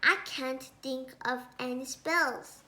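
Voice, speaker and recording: A woman's voice: sits high, takes it slowly, sharp tone, slight background noise, exaggerated voice. A young female reader voicing a male wizard with a grumbling, commanding manner in a storybook. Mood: groaning. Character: grumbling old-fashioned male wizard, aching, then commanding.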